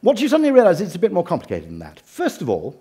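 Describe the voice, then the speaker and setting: Middle-aged english guy giving a talk, bit high voice, swoops around a lot, dry jokey tone. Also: fast, slightly rough. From England. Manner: dry wit, animated delivery with ironic asides. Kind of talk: humorous lecture.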